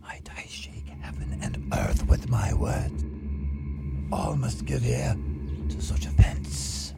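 A dead king's restless spirit, low and slow, in a hissing whisper. He is grave and vengeful, drawing out his words in old Shakespearean radio-drama style over loud music. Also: sing-song, vocal fry, full character voice. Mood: wrathful. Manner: grave, drawn-out theatrical delivery. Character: tormented, vengeful ghost of a murdered Danish king.

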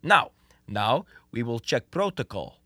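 A man's voice: medium pitch, very animated, unhurried, bright, forceful tone, dry recording, clean studio sound, exaggerated voice. A young man acting in a radio comedy as a confident, scheming who coaxes in wordy, stilted foreign phrasing. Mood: eager coaching. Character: scheming, confident Russian male defector posing as an American. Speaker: student actor.

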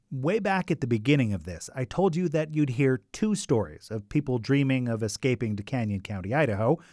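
A man's voice: mid-range voice, darkish tone, no room sound, clean studio sound. A man narrating a radio documentary in a scripted but conversational way, with wry asides.

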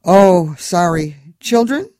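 A man's voice: high voice, lively intonation, slow, warm tone, no echo, clean studio sound, pitched above the performer's own voice. A man voicing a chatty, kind male cab driver from Moldova, with a Moldovan accent and clipped broken English. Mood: friendly.

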